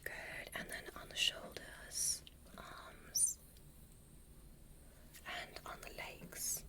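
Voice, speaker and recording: A woman playing a gentle, curious alien doctor in a whispered ASMR roleplay, breathy and soothing, softly giving instructions. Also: very slow. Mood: soothing, attentive. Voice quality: breathy, mostly unvoiced whisper. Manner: soothing, softly instructive, repeats short praise. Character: gentle curious alien medical examiner, female. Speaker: ASMR performer.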